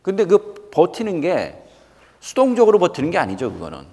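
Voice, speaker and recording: A man in his fifties speaks as a guest lecturer in a reverberant hall. His pitch moves in lively sweeps. He is emphatic, repeats his main points and turns questions directly on his listeners. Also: normal speed. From South Korea. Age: 50s. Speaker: guest lecturer, company executive. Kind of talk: motivational lecture to students.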